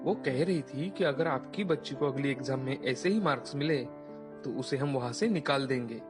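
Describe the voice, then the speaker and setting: A man's voice: mid-range voice, expressive, moderate pace, over music, natural voice. A man playing an earnest, devoted working-class father in a dramatised children's story. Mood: concerned.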